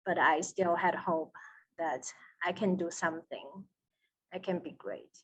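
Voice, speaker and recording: A woman from Taiwan with a Taiwanese accent, a seminary student, answering an interview over a video call with slow, careful, non-native English. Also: on the low side, breathy edge, poor audio.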